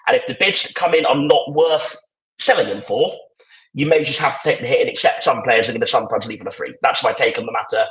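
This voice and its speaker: A croaky, tired-sounding man talks through his opinions in a rambling, restart-heavy way, his voice at an ordinary middle pitch. He speaks into earbuds over a video call, so the sound is thin and echoey. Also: quick pace, a bit bright. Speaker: podcast panelist. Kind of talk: casual podcast discussion. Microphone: AirPods on a video call. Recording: echoey, poor sound quality.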